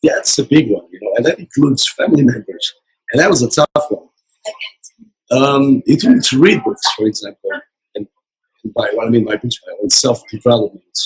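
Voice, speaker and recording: A man giving an earnest motivational account as an interview guest, hoarse from losing his voice. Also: medium pitch, expressive, fairly quick, poor audio. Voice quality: very husky. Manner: earnest, repetitive motivational talk. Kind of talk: casual interview. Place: casino resort event.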